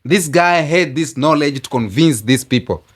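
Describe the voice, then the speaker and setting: A man arguing his point in a casual debate chat, insistent and animated. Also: on the high side, moderate pace, breathy edge. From Kenya. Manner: insistent, repeats "let me tell you", animated.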